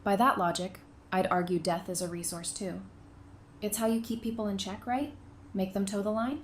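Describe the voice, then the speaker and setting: A woman acts out a guarded therapist in an audio drama. She speaks at a medium pitch with dry, blunt, challenging wit. A faint noisy ambience sits behind her voice. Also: steady tone, normal speed, close to an everyday voice. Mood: challenging, wry.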